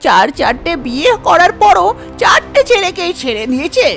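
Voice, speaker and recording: A woman's voice: very high voice, big pitch swings, normal speed, gravelly, fairly bright tone, faint music behind, voice pushed up, exaggerated voice. A woman voicing an exasperated, fussing middle-aged mother in a cartoon story. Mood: scolding. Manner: scolding, fussy. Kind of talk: cartoon folk tale character voice.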